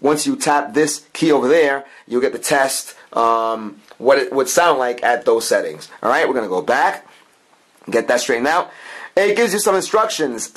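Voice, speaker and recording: A man with a mid-pitched voice reviews an app hands-on. His delivery is casual and improvised, like a channel host explaining each step as he goes. He tends to circle back and restate his points. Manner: talks in plural "we", informal, improvised and repetitive. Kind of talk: casual hands-on product demo commentary.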